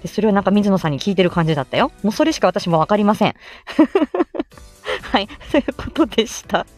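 A woman's voice: medium pitch, animated, fast, soft background music. A woman hosting a casual audio livestream, chatting to listeners in a giggly, effusive, rambling way. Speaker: livestream host and amateur voice actor.

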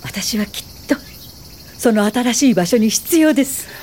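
A woman's voice: on the high side, lively intonation, warm tone, slight background noise. An actress in a radio drama playing an earnest, principled woman educator and social worker, speaking politely and thoughtfully. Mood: resolved. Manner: polite, thoughtful, measured delivery. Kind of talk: radio drama dialogue and reflective first-person narration.

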